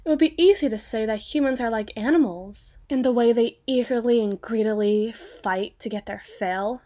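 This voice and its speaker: A slightly high-pitched vampire girl, acted by a woman in an ASMR roleplay. She is proper and ladylike but cocky, with a teasing, chatty, protective way of talking. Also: dark tone, natural voice. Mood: musing. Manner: playfully prim, teasing, with drawn-out phrases and asides.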